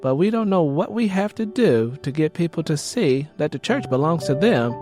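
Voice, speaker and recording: A man's voice: a little high, lively intonation, moderate pace, voice pushed up, natural voice. A man voicing a weary, worried young church wife with a Southern US accent in an audiobook. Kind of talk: audiobook character dialogue. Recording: rain and music behind the voice.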